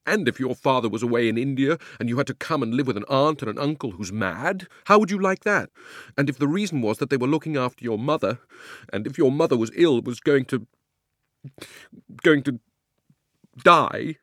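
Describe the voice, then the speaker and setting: A man acts out a young British schoolboy from a storybook. He is indignant and defiant, pushing his words out with wounded emphasis. His pitch moves a great deal, and the sound is close and dry. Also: lively intonation, moderate pace, fairly bright tone, dry recording, close to an everyday voice. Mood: miserable, angry.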